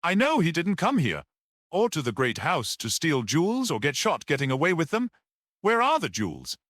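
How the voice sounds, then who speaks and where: A man portrays a modest priest detective in a storybook mystery. He questions quietly and sceptically, in a voice lifted above the narration with lively intonation. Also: a little high, normal speed, no room sound, studio quality, voice pushed up. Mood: quiet challenge.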